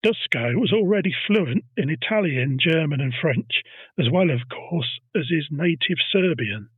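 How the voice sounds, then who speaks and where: A man's voice: a little high, animated, measured pace, husky, no room sound, phone-quality sound. A man hosting a casual history podcast, telling a story and teasing his co-host.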